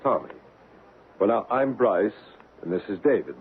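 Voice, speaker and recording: An actor playing a calm, courteous paranormal investigator in a radio drama, speaking in a measured, reassuring, formal manner. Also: male voice, on the low side, phone-quality sound. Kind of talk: old-time radio drama acting. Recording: band-limited, noisy old radio recording.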